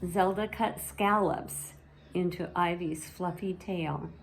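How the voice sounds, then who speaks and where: A woman storyteller reading a children's bedtime story aloud in a measured, expressive way. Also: on the low side, gravelly, slight background noise, rough recording.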